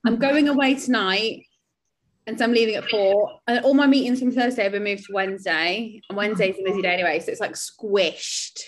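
A woman co-hosting a casual podcast, hurried and rushing between tasks. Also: medium pitch, slight background noise, rough recording. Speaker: podcast co-host. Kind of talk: casual chat.

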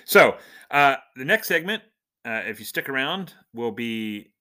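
A middle-aged man hosting a casual podcast, chatting and telling stories with animated, emphatic build-ups. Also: medium pitch, unhurried. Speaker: podcast host.

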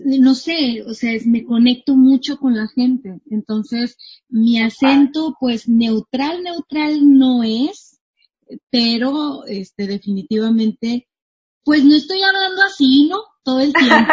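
Chatty husky-voiced woman in her thirties. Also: high voice, slow, lo-fi sound. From northwestern Mexico. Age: 30s. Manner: chatty, animated, laughs easily. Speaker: guest, marketing coach. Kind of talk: casual interview chat. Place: her home.

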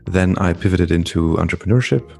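A middle-aged man from Flanders, speaking as a podcast guest in a reflective, unhurried way with frequent ums. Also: sits low, creaky, darkish tone, faint music behind. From Belgium (Flanders). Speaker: guest, entrepreneur and coach. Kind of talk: podcast interview conversation.

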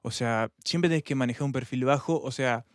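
A man with a Uruguayan accent, chatting casually on a podcast panel, often pausing with fillers. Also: medium pitch, no echo, clean studio sound. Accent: Uruguayan Spanish. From Uruguay, from the interior. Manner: hesitant, many fillers and restarts. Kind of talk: casual group chat.

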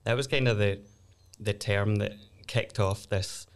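Glasgow lawyer guy on a podcast, lowish voice, explains stuff steady. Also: measured pace, clear, resonant tone. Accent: Glaswegian. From Glasgow, Scotland. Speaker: lawyer and lecturer, guest. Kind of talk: casual chat.

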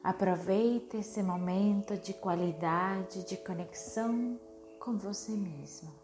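A woman leading a guided meditation in a soothing, unhurried way, with short phrases and long pauses. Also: mid-range voice, darkish tone. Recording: music behind the voice. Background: meditation music.

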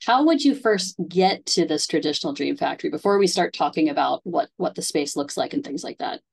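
Lower-voiced woman hosting a casual interview. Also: animated, a little fast, vocal fry, slightly rough. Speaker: interview host.

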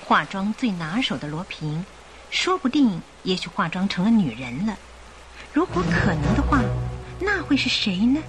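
A female radio drama narrator with a mid-pitched voice. She reads scripted story text with animated, expressive intonation. Music is clearly audible behind her. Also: moderate pace.

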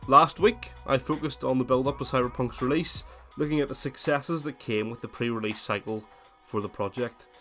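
A man with a cold hosting his gaming podcast, chatting casually and then reading a scripted essay in steady narration. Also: medium pitch, moderate pace, few pauses, soft background music. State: has a cold, voice slightly worn. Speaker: podcast host.

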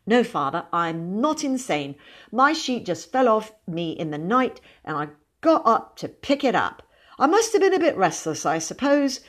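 A woman's voice: fairly high pitch, big pitch swings, normal speed, voice pushed up. Woman playing a young storybook boy, meek-seeming, talkative, earnest, unruffled, colloquial. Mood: calm, patient. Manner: composed, patient, earnest, colloquial grammar.

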